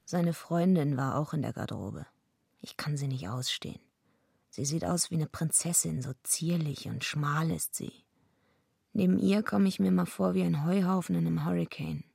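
A husky, heavily creaking tough wrestling villainess tells her story in a radio play. She is blunt and deadpan, a gruff loner with no time for niceties. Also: sits low, normal speed, dry recording, studio quality, exaggerated voice. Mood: dry, confiding. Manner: blunt, deadpan first-person narration. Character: tough, gruff female wrestler villain and lonely night watchwoman. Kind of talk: radio play narration and dialogue.

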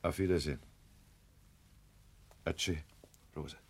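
An actor playing an impetuous, possessive gentleman lover with an emphatic manner in a German radio play. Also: a bass voice, fairly even intonation, slow pace, creaky, background noise, heavily acted. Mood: wounded, subdued. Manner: emphatic, blustering, scornful.

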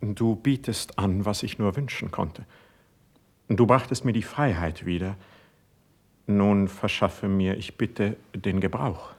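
A sensitive, proud court poet in a classical radio drama. His low, husky voice delivers verse with formal, measured care. He sounds wounded and stubborn beneath the courtly phrasing. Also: a little mellow, heavily acted. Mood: earnest, pleading. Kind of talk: classical German stage verse in a radio play.

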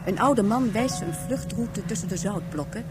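A woman's voice: medium pitch, animated, moderate pace. Woman narrating a radio drama over music.

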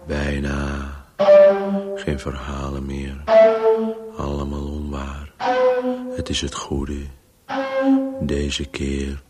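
Weary obsessive radio-play voice, very deep, very slow, fragmented, hushed, music behind. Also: male voice, monotone, full character voice. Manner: breathless, fragmented, halting phrases. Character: disembodied male Voice, obsessively chasing a story, weary and urgent.

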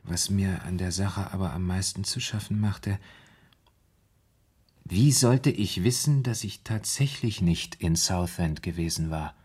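An actor in a 1970s German radio play, playing a troubled, brooding man confessing his fear of madness in halting, dramatic storytelling. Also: sits low, a little mellow, breathy edge. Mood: bewildered, troubled.

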